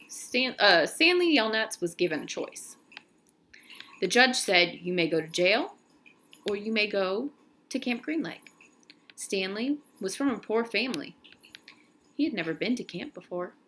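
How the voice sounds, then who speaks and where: A woman reading a children's novel aloud in an expressive storytelling style, now and then stumbling and correcting herself. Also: a little high, a little hiss or hum.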